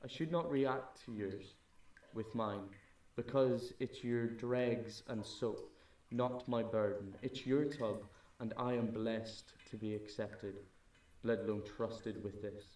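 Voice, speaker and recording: A man's voice: on the low side, takes it slowly, a little mellow. Distant, echoey man reciting poetry. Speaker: poetry reader. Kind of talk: spoken-word poetry recital. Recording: roomy echo, distant from the mic.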